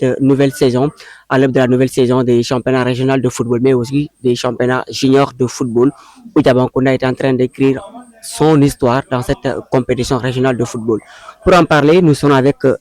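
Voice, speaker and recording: A man hosts an interview in a formal, hesitant way, with plenty of "euh" and "donc". His voice sits at a middle pitch and is recorded close and dry. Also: fairly even intonation, brisk, a little mellow, no echo. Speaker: interviewer and local media host. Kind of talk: interview for local web media.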